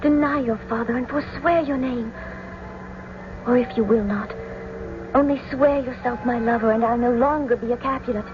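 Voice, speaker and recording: Woman playing earnest young noblewoman in love, poetic stage-style delivery, high pitch, noisy old radio broadcast. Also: steady tone, normal speed, muffled recording, lightly acted. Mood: yearning. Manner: romantic, pleading, poetic delivery. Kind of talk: classical stage-style radio drama acting.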